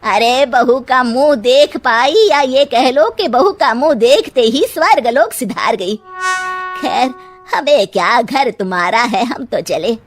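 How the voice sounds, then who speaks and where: A woman's voice: a little high, expressive, slow pace, faint music behind. A woman voicing a spiteful, taunting gossipy aunt in a cartoon story, dragging out her accusations. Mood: bitter, taunting. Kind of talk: Hindi moral-story cartoon dubbing.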